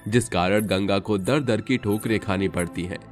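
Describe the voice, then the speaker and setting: A man's voice: on the low side, normal speed. A man reading scripted, dramatic storytelling narration. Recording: music under the voice.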